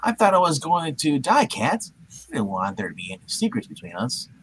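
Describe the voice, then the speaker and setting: A man playing an earnest, awkward masked superhero in love, read from a comic book with a halting, hammy delivery. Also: a little high, wildly swooping pitch, a little hiss or hum. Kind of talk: comic book live reading.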